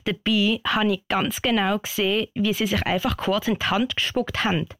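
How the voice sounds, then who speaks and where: A woman's voice: medium pitch, fairly even intonation, normal speed, fairly bright tone, dry recording, studio quality, close to an everyday voice. A woman playing a bored, grumbling little schoolgirl in a radio play, whiny and childlike, with a Swiss German accent. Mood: bored, indignant.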